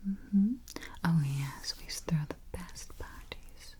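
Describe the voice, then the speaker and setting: A woman doing a soft, breathy, mostly whispered ASMR roleplay as a politely morbid vampire travel agent, murmuring with dry understatement close to the microphone. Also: lowish voice, takes it slowly. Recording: faint hiss, close keyboard typing and clicks. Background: typing, clicking and gentle tapping.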